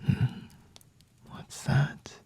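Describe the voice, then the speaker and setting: A man playing a flirty, possessive vampire in an intimate ASMR roleplay, whispering slowly and teasingly in a breathy, husky voice. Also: darkish tone, a little hiss or hum. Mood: seductive, soothing.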